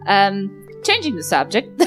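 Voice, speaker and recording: A woman's voice: mid-range voice, huge pitch swings, takes it slowly. A New Zealand woman playing a live tabletop game, casual and politely hesitant as she describes her moves. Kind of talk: casual improvised play before an audience. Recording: live show with music underneath.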